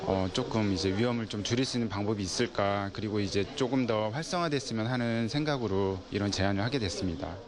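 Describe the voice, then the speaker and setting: A man's voice: fairly low pitch, fast, hardly any pauses. A man giving tentative, unscripted interview remarks as a citizen proposer. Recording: busy crowd chatter behind.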